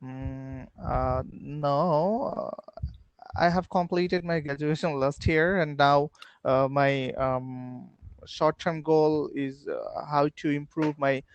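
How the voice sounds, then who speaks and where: A man's voice: on the high side, steady tone, slow, smooth, dry recording, lo-fi sound. A man in his twenties with a Bangladeshi accent, practising English hesitantly in simple phrases on a phone video call. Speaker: English learner. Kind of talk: casual practice conversation.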